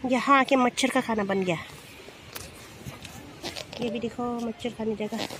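A young woman chats through her vlog in a high voice, taking her time over each phrase. She films on a handheld phone while walking a rocky riverbank outdoors, tossing English words into her talk. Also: steady tone, measured pace, fairly bright tone, slight background noise. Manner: chatty, mixes in English words. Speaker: vlogger. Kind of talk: casual vlog narration. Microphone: handheld phone camera.

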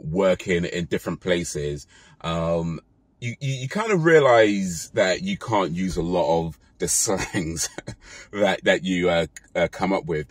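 A British man in his thirties talking casually to his phone in a parked car, relaxed and rambling with fillers and self-corrections. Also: deep voice, no room sound. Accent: British English. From Britain. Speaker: vlogger. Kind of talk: casual talk to camera. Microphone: phone camera held close.

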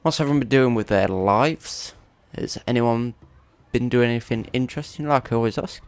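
A man's voice: mid-range voice, normal speed, no echo. A young man with a cold, chatting casually and swearing now and then while commentating his own gameplay. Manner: rambling, chatty, swears at opponents. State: congested with a cold. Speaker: gaming YouTuber.

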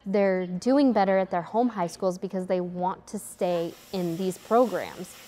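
A woman's voice: on the low side, measured pace, warm tone. A woman in her thirties, a welding instructor, speaking earnestly and with enthusiasm in a documentary interview. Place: indoor welding classroom.

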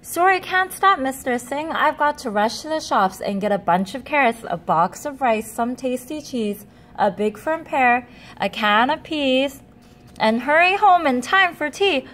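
A woman reading a picture book as an eager, hurried little boy reciting his errand list urgently. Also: high voice, lively intonation, a bit bright. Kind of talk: children's picture book read-aloud.

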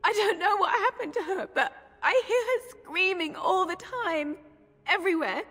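Very high-pitched frightened village girl. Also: expressive, fairly bright tone, faint music behind. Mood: distraught, pleading. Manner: halting, fearful, pleading. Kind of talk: fantasy video game acting. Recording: long echoing hall reverb.

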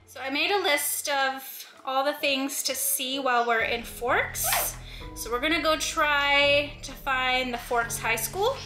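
A woman with a high voice and an unhurried pace narrates a casual travel vlog. She sounds like a family host talking into a handheld camera, and clear music plays behind her. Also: lively intonation, sharp tone, poor audio. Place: inside a car and outdoors.

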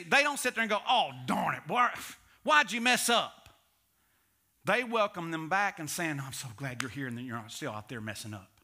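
A middle-aged male pastor preaching a sermon on a church stage, animated, swinging between loud emphasis and hushed asides. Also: sits high.

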